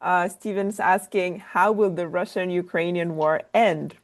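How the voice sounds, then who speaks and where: A woman hosts an online discussion, thanking speakers and reading out questions in a polite, upbeat way. Her voice sits a bit low for a woman. She speaks over a video call. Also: a little slow. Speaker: moderator reading audience questions. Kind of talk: webinar moderation.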